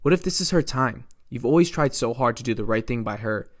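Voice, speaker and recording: A man voicing a hero's loving wife, a gentle and sensible woman, earnest and measured. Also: mid-range voice, animated, close to an everyday voice. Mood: tender, resigned.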